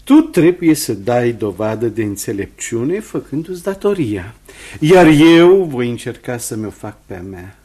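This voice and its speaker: Mellow, husky reverend tutor. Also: male voice, medium pitch, sing-song. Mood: measured. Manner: measured, pious. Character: kindly, measured reverend tutor, gently moralising. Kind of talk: radio drama acting.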